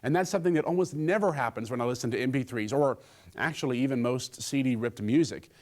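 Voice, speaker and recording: A man's voice: medium pitch, fast talker, a little mellow. A man presenting a scripted gadget review, with a joking streak in his delivery.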